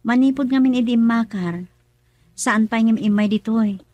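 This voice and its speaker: A woman's voice: on the high side, normal speed, mellow, no echo, close to an everyday voice. A woman with an Ilocano accent playing an earnest young wife in a radio drama. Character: young wife worried about her family.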